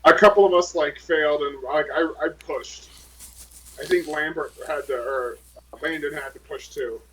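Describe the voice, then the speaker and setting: A man's voice: fairly high pitch, moderate pace, fairly bright tone, breathy edge, rough recording. A man playing a tabletop role-playing game, talking casually with the other players.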